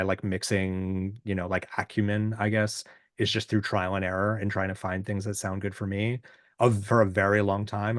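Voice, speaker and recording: Deep-voiced man chatting casually, close dry sound. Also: no room sound. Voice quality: deep, booming voice. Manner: halting, self-deprecating, informal. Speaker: podcast guest, musician and self-producer. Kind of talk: casual, reflective interview chat.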